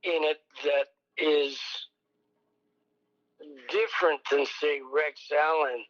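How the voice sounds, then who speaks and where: An older man, a songwriter, recalling his past slowly in an interview, with many pauses and a fairly high voice. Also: fairly even intonation, highs cut off. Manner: slow, rambling, many ums and pauses. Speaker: songwriter guest. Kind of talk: reflective oral history interview.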